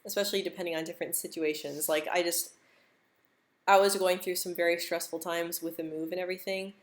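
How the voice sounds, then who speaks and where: A woman's voice: on the low side, fairly even intonation, fairly quick. A young American woman who stutters, repeating words and sounds, chatting casually on a live stream and laughing easily. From United States.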